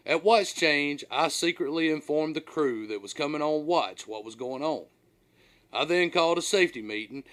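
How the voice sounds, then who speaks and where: Man narrating with very animated, swooping pitch. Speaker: author reading own audiobook. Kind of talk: scripted first-person storytelling narration.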